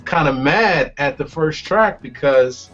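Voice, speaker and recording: A man with a fairly high voice weighs in on the chat in a relaxed, thoughtful way. The recording is lo-fi and muffled, with faint music underneath. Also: slow. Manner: reflective, acts out sounds in stories. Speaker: panelist. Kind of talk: casual conversation.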